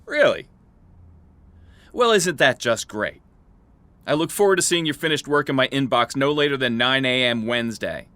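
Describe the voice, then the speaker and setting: A ruthless middle-aged boss played by a man in an audio drama. His voice is sharp and forceful, recorded very dry. He gives orders brusquely, with biting sarcasm. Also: mid-range voice, lively intonation, moderate pace, pauses often, no echo, natural voice. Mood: cutting, sarcastic.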